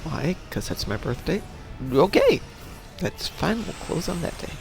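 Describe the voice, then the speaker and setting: A man's voice: on the high side, measured pace, vocal fry, music in the background. A man from Chicago hosting a livestream, chatting casually while playing a game, a little stuffy from a cold. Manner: chatty, animated, reads viewer comments aloud. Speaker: stream host.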